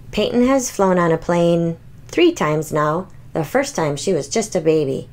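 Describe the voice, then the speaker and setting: Female children's storytime host, chatty and expressive, very animated intonation. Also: lowish voice. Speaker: host of children's read-aloud channel. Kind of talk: picture book read-aloud and casual chat to children.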